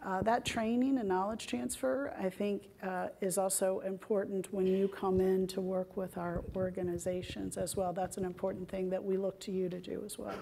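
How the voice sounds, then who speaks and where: A middle-aged woman speaks from a conference stage into a lapel mic. Her voice has a mellow, warm tone. She talks in a measured way and pauses often to find her words. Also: medium pitch, little pitch movement, creaky, a little hiss or hum. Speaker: panelist, government IT executive. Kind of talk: panel discussion.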